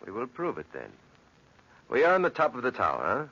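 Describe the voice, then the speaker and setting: An actor playing a grumbling, superstitious Italian bricklayer, insistent and ominous, in 1940s radio drama style. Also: male voice, medium pitch, wildly swooping pitch, fairly quick, bright, forceful tone, breathy edge, full character voice. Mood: grumbling, foreboding. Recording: muffled 1940s radio recording.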